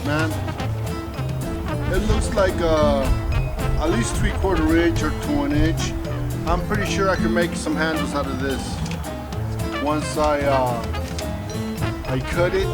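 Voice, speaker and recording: A man in his fifties hosting a casual vlog outdoors, talking in short, folksy exclamatory phrases. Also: fairly high pitch. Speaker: vlog host. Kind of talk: casual unboxing vlog talk. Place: outdoor garden patio beside a fruit tree.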